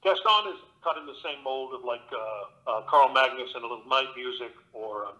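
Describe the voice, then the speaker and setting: Bright-voiced man on a remote call. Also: medium pitch, a little fast, low-quality recording. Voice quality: big voice. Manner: relaxed storytelling with many fillers and restarts. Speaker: guest actor and audiobook narrator. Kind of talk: conversational interview.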